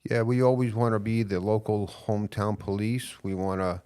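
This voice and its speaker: A middle-aged man with a New York accent and a deep, smooth, resonant voice. He speaks as a police chief in a relaxed interview, earnest and measured and often pausing on fillers. The sound is close and dry, with no room around it. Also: no echo. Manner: earnest, measured, frequent hesitations. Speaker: police chief, podcast guest. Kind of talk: casual interview.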